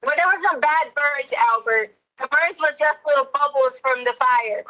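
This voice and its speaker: A woman on a recorded phone call, giving a rambling, halting personal account and quoting herself and others as she goes. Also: sits high, pushed and bright.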